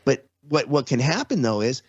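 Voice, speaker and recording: A middle-aged man in relaxed conversation who rambles and restarts his sentences as he works toward his point. The recording is dry and a little dull. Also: mid-range voice, normal speed, no echo. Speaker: podcast guest. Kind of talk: casual conversation. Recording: slightly dull, dry sound.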